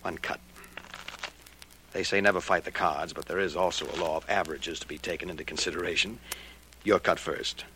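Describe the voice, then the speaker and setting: An actor playing a smooth, wary gambling-ship owner in a 1940s radio drama, speaking in a measured, sardonic way with hesitations. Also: male voice, sits low, fairly even intonation, pushed and bright. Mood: uneasy, suspicious. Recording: noisy 1940s radio recording.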